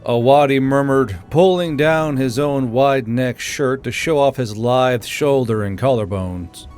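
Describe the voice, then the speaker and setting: A man's voice: medium pitch, measured pace, darkish tone, clean tone. Male story narrator over music. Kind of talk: scripted audiobook narration with acted dialogue.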